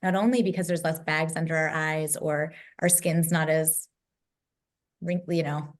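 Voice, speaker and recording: A woman with a low voice, moderating a virtual panel discussion over a video call, emphatic and fond of building lists. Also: fairly even intonation, moderate pace. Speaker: summit moderator.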